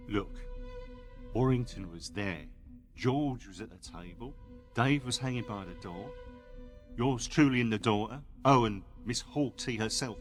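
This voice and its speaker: A man's voice: mid-range voice, lightly acted. An actor playing a chatty, indignant working man in a radio play, talking colloquially and firing off questions. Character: chatty, indignant working-class male employee recalling events. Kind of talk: radio play monologue acting.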